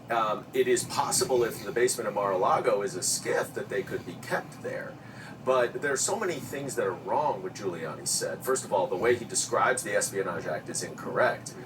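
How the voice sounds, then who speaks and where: A man's voice: on the low side, animated, a little fast. A man giving emphatic, explanatory commentary as an expert on a news panel. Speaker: expert panel commentator, former congressional intelligence committee staffer. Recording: noisy, distant-sounding audio.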